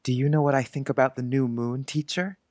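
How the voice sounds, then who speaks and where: A man's voice: medium pitch, fairly even intonation, a little fast, darkish tone, dry recording, clean studio sound, lightly acted. Dreamy, solemn little boy played by a man. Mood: dreamy, wondering. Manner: grave, wondering, precise. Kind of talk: audiobook drama acting.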